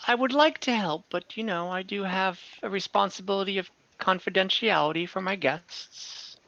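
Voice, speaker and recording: A man's voice: high-pitched, normal speed, gravelly, pushed and bright, no echo, muffled recording, full character voice. A man voicing a coy female innkeeper who pretends she cannot share her guest ledger. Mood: reluctant. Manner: coy, hesitant, playing at reluctance.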